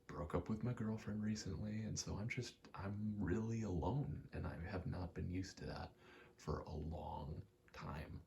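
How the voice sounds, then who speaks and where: A young adult man in a quiet, casual ASMR-style ramble, speaking candidly and hesitantly, often trailing off. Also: deep voice, steady tone, normal speed, gravelly, a little hiss or hum. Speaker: video maker.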